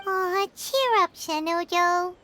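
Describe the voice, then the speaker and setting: A woman voicing a small, childlike, cheeky fairy companion in a video game, chatty and squeaky. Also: slow, bright, forceful tone, heavily acted. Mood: cheerful. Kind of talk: video game character acting.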